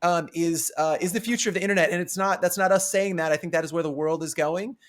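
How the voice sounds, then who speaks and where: A man with a noticeably high voice speaks as a panelist on a live talk show. He is informal and conversational, given to explaining his points. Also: fast. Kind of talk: casual live discussion.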